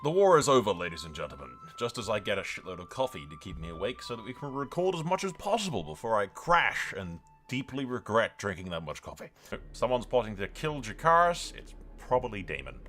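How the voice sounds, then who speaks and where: Lowish voiced guy doing gameplay commentary, sweary and hyped, sharp forceful tone, music under it. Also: fairly quick. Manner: sweary, excitable, banter-like. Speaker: host of a gaming channel. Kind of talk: playthrough commentary with in-character narration.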